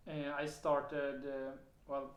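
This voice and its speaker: Slow, hesitant man with a Swedish accent. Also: medium pitch, monotone. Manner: hesitant, many fillers and restarts, occasional soft laughs. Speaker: vlogger sharing personal experience. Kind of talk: casual personal testimony to camera. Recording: roomy echo.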